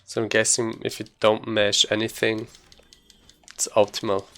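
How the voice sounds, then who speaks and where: A man's voice: lowish voice, completely flat delivery, normal speed, a bit bright, clean tone. A man giving casual, explanatory gameplay commentary as a speedrunner.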